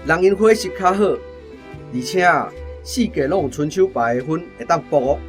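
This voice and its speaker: An actor playing a brash, lazy veteran soldier with a Taiwanese accent, boastful and offhand, in a radio drama. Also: male voice, on the high side, lively intonation, moderate pace, faint music behind, close to an everyday voice. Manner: cocky, offhand.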